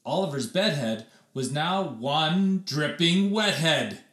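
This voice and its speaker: A man reading a children's story aloud expressively, with theatrical emphasis and dramatic pauses. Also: a little high, expressive, a bit bright, reverberant. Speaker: read-aloud storyteller, teacher.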